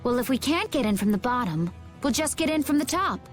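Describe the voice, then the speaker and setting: An actress playing a calm, dry-humored young woman fighter in a video game cutscene. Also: sits high, a little fast, faint music behind. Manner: cool and dry.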